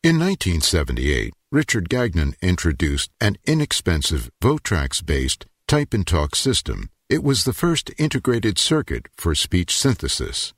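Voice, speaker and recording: An older man giving scripted documentary narration in a measured, explanatory way. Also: low voice, huge pitch swings, husky, dry recording, clean studio sound.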